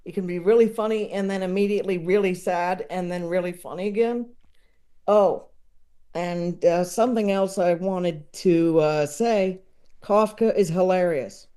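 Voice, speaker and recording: A woman chatting casually as a podcast guest, enthusiastic and digressive, often halting as she works out her thought. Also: lowish voice, mellow, smooth. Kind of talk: casual chat about books and comedy.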